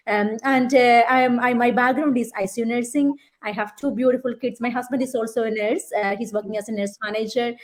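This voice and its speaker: A woman's voice: on the high side, fairly even intonation, fairly quick, few pauses. Earnest woman guest. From Kerala, India. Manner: earnest, reflective, with many fillers. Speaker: guest, nurse. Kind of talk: casual conversational interview.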